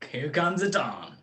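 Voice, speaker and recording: A man's voice: fairly high pitch, fairly bright tone, full character voice. A man acting a smug, cold-blooded criminal mastermind in a radio play, with precise, mocking, grandiloquent speech. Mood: mocking amusement.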